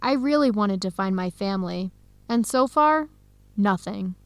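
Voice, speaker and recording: A woman's voice: medium pitch, animated, unhurried, dry recording. An actress playing an earnest young woman student in a radio drama. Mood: disappointed, confessing.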